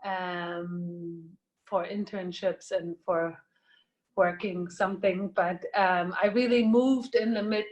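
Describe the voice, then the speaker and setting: A woman's voice: fairly low pitch, fairly even intonation, slow. A woman from Germany, whose first language is Slovak, chatting hesitantly as a guest in an interview. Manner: hesitant, restarts often. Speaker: guest athlete. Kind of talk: casual interview chat.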